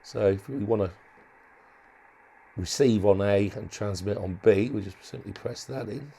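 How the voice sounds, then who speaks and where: Male hobbyist demonstrator, lowish gravelly voice, informal and unscripted, feels his way through sentences. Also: warm tone. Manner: halting, thinking aloud. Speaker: hobbyist presenter demonstrating a modified radio. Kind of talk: casual unscripted product walkthrough.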